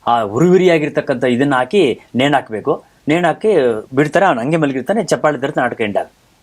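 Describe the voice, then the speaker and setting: A man's voice: medium pitch, expressive, fairly quick. A man hosting a conversational talk show, telling stories in an emphatic way and repeating phrases for effect. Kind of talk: animated conversational storytelling about theatre.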